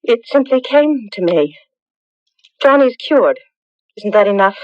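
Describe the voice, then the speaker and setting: Composed, high-voiced, mysterious young woman. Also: expressive, unhurried, no room sound. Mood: composed, cryptic. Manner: composed, formal, cryptic. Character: calm, proud, mysterious young village woman, suspected witch. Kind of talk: 1980s radio drama acting. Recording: dull lo-fi radio broadcast recording.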